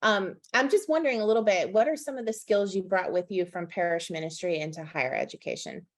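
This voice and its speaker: A woman's voice: mid-range voice, quick pace. A woman hosting a conversational interview, speaking as the one who asks the questions. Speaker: interview host.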